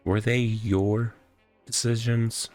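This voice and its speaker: A man's voice: medium pitch, little pitch movement, darkish tone, faint music behind, lightly acted. A man voicing a needy, self-pitying police officer from a visual novel, stammering and repeating himself. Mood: accusing, intense. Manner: halting, stammering, repetitive.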